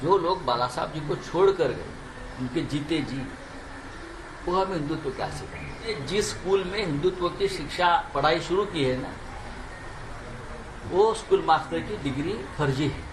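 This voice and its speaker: A man's voice: a little high, lively intonation, moderate pace. A man giving combative political remarks to the press, full of rhetorical questions. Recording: echoey, noisy press interview.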